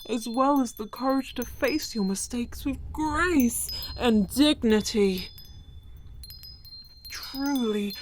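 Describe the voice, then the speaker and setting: An eerie, grandiose collector played by a woman speaks in a formal, ominous monologue for an audio drama. Her voice sits fairly high, with noisy ambience behind it. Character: eerie, devoted collector of trinkets, grandiose fan. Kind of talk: theatrical scripted monologue.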